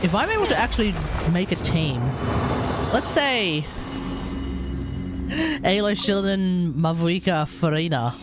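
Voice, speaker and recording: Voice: mid-range voice, animated, measured pace, long pauses. A streamer giving casual, rambling gameplay commentary on a livestream, thinking aloud while playing. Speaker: video game streamer. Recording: voice under loud game music. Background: loud video game music.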